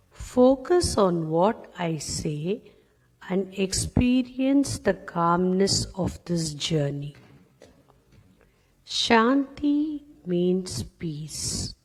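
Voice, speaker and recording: Very slow woman meditation guide, reverberant. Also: lowish voice. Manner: calm, instructing in short, separated phrases. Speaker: yoga nidra meditation guide. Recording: long reverberant hall-like echo.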